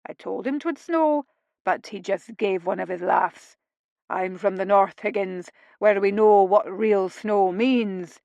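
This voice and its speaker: A cheeky young stable groom from the north, loud and cheerful in broad dialect, as a woman puts him on. Close, dry sound. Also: mid-range voice, slow pace, vocal fry, dry recording, highs cut off. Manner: bold, cheerful dialect speech.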